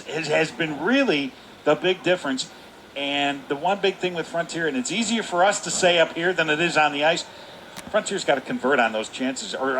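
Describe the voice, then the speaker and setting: A man's voice: medium pitch, a bit bright, slight background noise, poor audio. An American man giving live color commentary on a hockey game, in an emphatic, folksy way that repeats its points. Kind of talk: live sports analysis.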